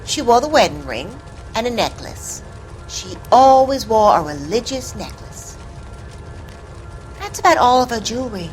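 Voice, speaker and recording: A woman's voice: fairly high pitch, big pitch swings, a little slow, soft background music, poor audio. An actress playing a defensive landlady who answers a detective evasively and indignantly. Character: defensive landlady protecting her reputation.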